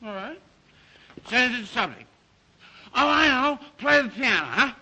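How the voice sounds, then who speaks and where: An actor playing a tipsy, boastful American playboy, slangy and boisterous, in old comic-relief style. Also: male voice, very high-pitched, big pitch swings, unhurried, pushed and bright, husky, heavily acted, rough recording. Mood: tipsy, bossy. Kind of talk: old film-era comic-relief acting.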